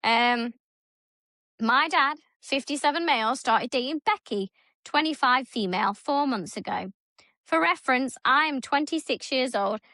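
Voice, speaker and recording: A woman's voice: high voice, expressive, unhurried, sharp tone, no room sound, clean studio sound. A woman in her thirties co-hosting a podcast, reading a story aloud in casual chat, blunt and swearing freely. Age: 30s. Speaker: podcast co-host.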